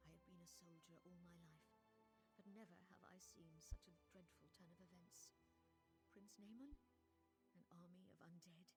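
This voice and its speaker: Woman acting a low-voiced, sombre soldier. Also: steady tone, moderate pace, music in the background, lightly acted. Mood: grave, dismayed. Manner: grave, solemn. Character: sombre lifelong soldier woman, a weary scout. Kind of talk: video game voice acting.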